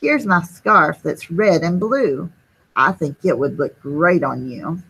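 A woman's voice: low voice, expressive, slow, creaky, fairly bright tone, slightly rough, full character voice, low-quality recording. A woman voicing helpful, eager young children in a storybook, with an exclaiming delivery. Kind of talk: children's storybook read-aloud.

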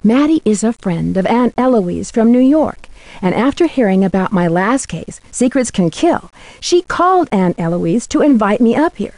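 A woman's voice: a little high, very animated, normal speed, darkish tone, dry recording, rough recording. A young American woman voicing an inquisitive, teasing amateur detective in a video game, in scripted lines. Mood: chatty, intrigued. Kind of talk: scripted game dialogue and letter narration.

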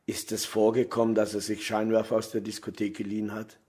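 An actor playing an insistent, slightly awkward male police detective with a husky voice in a German crime radio play. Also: lowish voice, completely flat delivery, brisk, a little mellow. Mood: businesslike.

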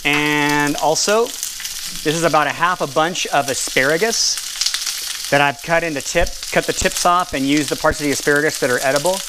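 A man giving a relaxed, casual cooking demonstration at a kitchen stove, explaining each step with the odd filler and self-correction. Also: fairly high pitch, moderate pace, noisy background. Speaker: cooking and nutrition instructor. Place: home kitchen at a gas stove, seen from overhead.

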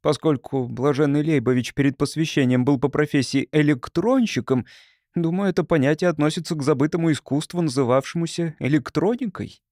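A man's voice: a little high, a little fast, darkish tone, dry recording, clean studio sound, natural voice. A man voicing an earnest, easily flustered young monk who speaks stiffly and solemnly. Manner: stiff, defensive, solemnly scholarly.

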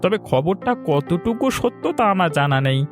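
A man's voice: fairly high pitch, animated, normal speed, faint music behind, lightly acted. A man voicing a loyal, cautious village friend in a cartoon, earnest and respectful. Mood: confiding. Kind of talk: dramatic cartoon dialogue.

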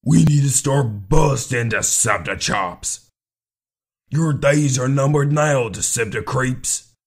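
Guy doing trigger-happy old robot soldier, gruff, super raspy cartoon voice. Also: medium pitch, slow. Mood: combative. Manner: gruff, combative. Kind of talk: fan voice reel, cartoon action acting.

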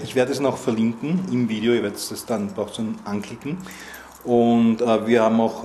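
A middle-aged man hosting community news, speaking haltingly with many fillers. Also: medium pitch, a little mellow, slight background noise. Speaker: news host and interviewer. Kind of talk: community news presenting and street interviews. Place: outdoor festival at night.

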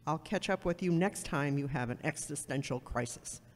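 A woman's voice: deep voice, moderate pace. A woman acting a blunt, haughty woman who gives sardonic advice in an amateur audio drama. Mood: brusque. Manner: brusque, sardonic, superior. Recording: echoey hall with long reverb.

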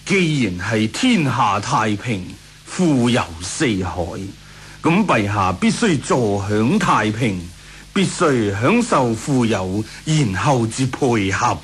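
A man's voice: mid-range voice, big pitch swings, takes it slowly, faint music behind. A man with a Hong Kong Cantonese accent playing a scheming, deferential imperial councillor in a radio drama, speaking persuasively.

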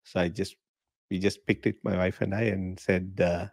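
Middle-aged man from Sri Lanka, low and dry, easygoing and laughing podcast guest. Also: moderate pace, gravelly, no room sound. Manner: relaxed, chatty, laughs often. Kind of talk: casual interview chat. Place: home living room. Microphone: podcast mic in frame, video call.

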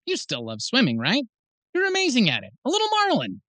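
A man's voice: fairly high pitch, very animated, moderate pace, fairly bright tone, dry recording, clean studio sound. A man playing a teasing, jokey, easygoing dad in an audiobook. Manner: jokey, casual. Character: playful, teasing, easygoing dad of a young boy. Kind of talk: full-cast audiobook acting.

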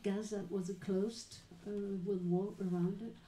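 A woman moderating a live panel talk, introducing guests and asking questions in a measured, explanatory way with many pauses. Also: mid-range voice, fairly even intonation, dark tone, slight background noise. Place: small dim venue with live audience. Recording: echoey room recording.

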